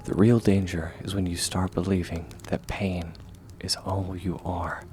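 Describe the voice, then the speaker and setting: A man playing a gentle, steadfast young swordsman mentor in a comfort ASMR roleplay, speaking softly and reassuringly, at times close to a whisper. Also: low voice, level tone, faint music behind. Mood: gentle, encouraging. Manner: soft, reassuring, measured, often near whisper.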